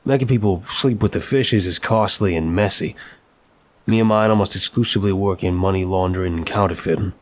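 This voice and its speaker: Man playing a deep-voiced, wry mafia boss. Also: fairly quick, close to an everyday voice. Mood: wry, explaining. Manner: wry, conversational, gangster slang. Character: apologetic, wry, charming male mafia boss. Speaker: audio roleplay performer. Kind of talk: scripted ASMR roleplay acting.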